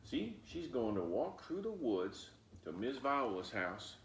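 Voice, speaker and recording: A man doing an expressive storybook read-aloud for young children, pausing to point things out. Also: medium pitch, vocal fry, noisy background. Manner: animated, pauses to point things out. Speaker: storybook reader. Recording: roomy echo, distant mic.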